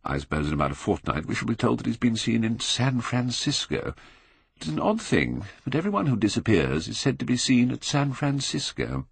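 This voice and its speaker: Man acting cynical Victorian gentleman, British accent, blasé drawl, husky, swooping delivery, storybook reading. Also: mid-range voice, big pitch swings, fairly quick, no room sound, voice pushed up, full character voice. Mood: arch, amused. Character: languid, witty, cynical Victorian aristocrat, older gentleman.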